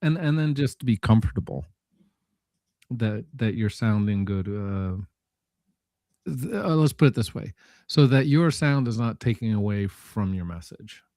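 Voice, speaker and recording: A man chatting casually as a panelist, talking in a halting, rambling way and often correcting himself. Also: fairly low pitch, expressive, dark tone, dry recording, studio quality. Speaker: panelist on a live audio show. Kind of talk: casual unscripted chat.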